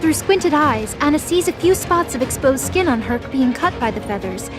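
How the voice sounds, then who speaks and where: Female storyteller, pretty high voice, scripted dramatic narration w music under it. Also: animated, normal speed.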